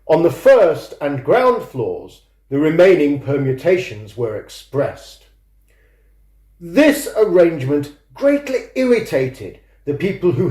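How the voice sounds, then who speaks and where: A man who is an author introducing and reading his own fiction in a measured, expressive storytelling manner. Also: a little high. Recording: roomy, echoing sound.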